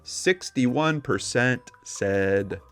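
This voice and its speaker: Casual guy co-host, mid-pitched voice, skeptical storyteller type, light music under him. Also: lively intonation, extremely slow. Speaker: podcast co-host. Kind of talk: casual paranormal discussion show with storytelling.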